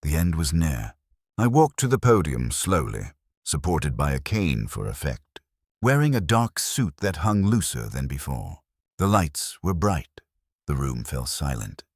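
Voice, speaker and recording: A man reading a scripted dramatic story as narrator, in a measured, solemn manner. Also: a bass voice, sing-song, slightly rough, no room sound, clean studio sound.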